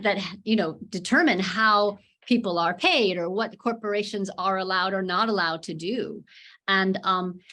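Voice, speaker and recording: A woman's voice: mid-range voice, a bit bright. A woman giving thoughtful interview answers, often hesitating as she searches for words. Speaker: documentary filmmaker being interviewed.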